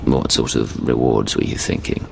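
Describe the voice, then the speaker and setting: An actor playing a suave, cocky Imperial secret agent in a video game, with a deep gravelly voice and smooth assurance. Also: male voice, fairly even intonation, normal speed, darkish tone, a little hiss or hum, full character voice. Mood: smug, flirtatious. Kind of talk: dramatic video game acting. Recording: long reverb under music.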